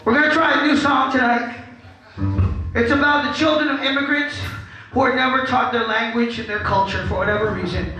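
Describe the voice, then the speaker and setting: A man's voice: extremely high pitch, sharp tone, a little hiss or hum. A punk band frontman talking casually between songs on a live club stage, joking and swearing through a stage mic. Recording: echoey live club with crowd.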